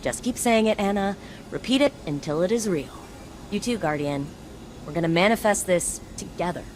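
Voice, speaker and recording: A woman's voice: fairly low pitch, lively intonation, moderate pace, a little hiss or hum, lightly acted. An actress playing a driven, wry woman scientist who guides a hero in a video game, casual and encouraging. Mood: wry, anxious hope. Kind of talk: scripted video game dialogue. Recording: music behind the voice.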